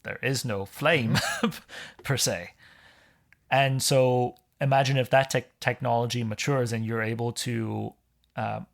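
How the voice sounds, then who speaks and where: A man from Hong Kong, a podcast guest in casual conversation, explaining things in a measured way. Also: medium pitch, steady tone, vocal fry.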